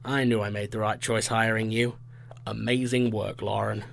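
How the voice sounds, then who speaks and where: A man playing an appreciative, measured boss in an audio drama, with a gravelly voice. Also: fairly low pitch, little pitch movement. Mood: impressed, pleased.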